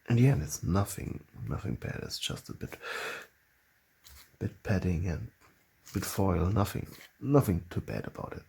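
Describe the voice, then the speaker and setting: Husky, gravelly man with a German accent. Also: sits low, a little mellow. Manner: halting, searching for words, frequent restarts. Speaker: channel host. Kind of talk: casual monologue to camera.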